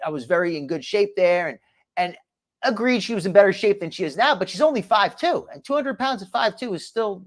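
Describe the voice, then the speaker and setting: Sarcastic host with a New Jersey tinge. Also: male voice, sits high. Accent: slight New Jersey. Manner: gossipy, sarcastic, chuckling. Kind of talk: mocking reaction commentary.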